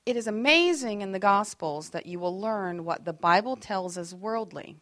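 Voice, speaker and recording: Animated woman leading a faith workshop. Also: medium pitch, moderate pace, no echo, clean studio sound. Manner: animated and expressive, acting out quoted lines; chatty and self-deprecating, with asides to the group. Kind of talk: teaching a faith workshop to a group.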